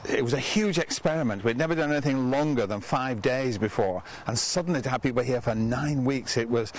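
An older man with a lapel mic, interviewing a student and speaking to camera outdoors in a relaxed, unhurried, affirming way. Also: medium pitch. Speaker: ministry leader and host. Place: outdoors on a garden bench by a stone manor.

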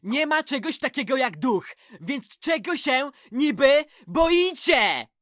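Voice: high voice, big pitch swings, slow pace, a bit bright, no room sound, studio quality, heavily acted. An actor voicing a cynical, foul-mouthed, sarcastic young boy with a scornful manner in a cartoon fan dub. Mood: irritated, insistent. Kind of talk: animated series fan dub.

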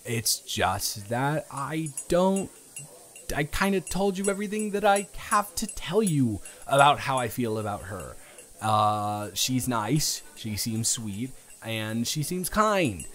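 A young man playing an earnest, flustered romantic lead in an improvised audio roleplay, speaking in a halting, emphatic, stammering way. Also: mid-range voice, very animated, a bit bright. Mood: defensive, uneasy.

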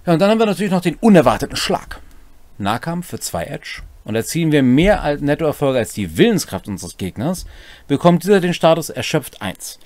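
A man's voice: a little high, very animated, fairly quick, a little mellow, dry recording. A man in his forties explaining tabletop game rules in a conversational way as a co-host and game master. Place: small home room, indoors.

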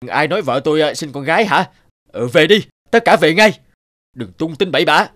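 Blustering, stern cartoon village chief. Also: male voice, a little high, lively intonation, moderate pace, a bit bright, dry recording. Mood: flustered, angry. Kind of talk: animated folktale voice acting.

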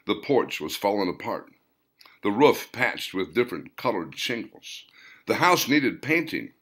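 A man reading a story as a scripted audiobook narration, in deliberate, dramatic phrases broken by pauses. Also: lowish voice, big pitch swings, a bit bright. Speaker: author reading own novel, narrator.

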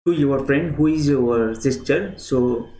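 A young man teaching English grammar in a slow screen-share lesson. He repeats himself a lot and keeps addressing the viewers as "friends.". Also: medium pitch, mellow. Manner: slow and repetitive, often saying "friends" to the viewers. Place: small indoor room. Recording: echoey room with clear background noise. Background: keyboard clicks and typing.

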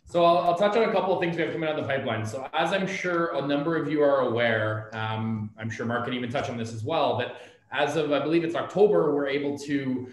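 A man in his thirties gives an earnest office update on a video call, doubling back on his words as he talks. His voice has an ordinary middle pitch. He sits back from the laptop, and the meeting room fills the sound with heavy echo. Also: fast talker, hardly any pauses. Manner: earnest, repeats words, restarts often. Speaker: office staff presenting an update. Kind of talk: casual meeting presentation. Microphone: laptop camera on video call, seated back from it. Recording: very echoey room, off-mic.

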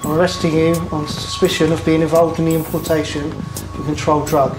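A man's voice: on the high side, flat delivery, a little fast. A man with a British accent speaking in an official, procedural way as a customs officer. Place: indoor airport rooms. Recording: echoey room with music added.

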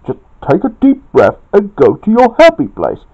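A man's voice: sits high, wildly swooping pitch, moderate pace, dark tone, muffled recording, pitched above the performer's own voice, exaggerated voice. A man voicing an indignant, bossy male friend in an amateur storybook telling. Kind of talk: amateur storytelling with acted dialogue.

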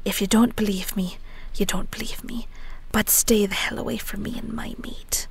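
A woman's voice: mid-range voice, steady tone, moderate pace, natural voice. Woman acting a bitter, whispery young werewolf woman. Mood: threatening. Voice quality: breathy, whispery. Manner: hushed, biting, confrontational. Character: bitter, defiant young female werewolf, witch's daughter. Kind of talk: dramatic audio roleplay acting.

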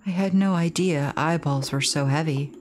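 A woman's voice: sits low, normal speed, a little mellow, slight background noise. A woman playing through a horror game, reading text aloud and commenting on it.

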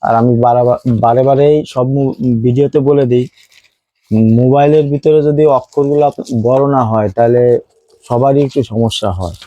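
A man's voice: mid-range voice, steady tone, moderate pace, dark tone, no room sound, poor audio. A man with a Bangladeshi accent giving a casual phone unboxing review as a seller, explaining things and repeating his points.

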